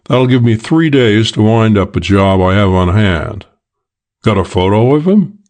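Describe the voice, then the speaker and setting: A man's voice: fairly low pitch, animated, a little slow, darkish tone. A man voicing a practical private detective in an audiobook, clipped and matter-of-fact. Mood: brisk, matter-of-fact.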